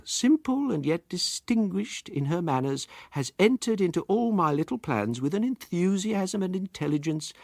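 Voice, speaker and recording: Guy doing a smug pompous amateur detective reading out his report, super animated, very dry sound. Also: no echo. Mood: self-satisfied, pompous. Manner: pompous, precise, highly expressive. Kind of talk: theatrical first-person story narration.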